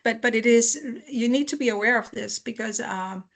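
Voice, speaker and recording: Gravelly, practical middle-aged woman, ex-CEO guest answering webinar questions. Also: a little high, moderate pace. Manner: practical, advice-giving explanations. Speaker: former company CEO, guest speaker.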